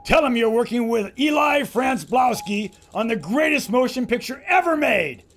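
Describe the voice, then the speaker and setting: A brash filmmaker adventurer in an audio drama. His voice sits very high and swings about freely as he blusters and boasts. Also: animated, moderate pace, fairly bright tone. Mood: showman. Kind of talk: radio play acting.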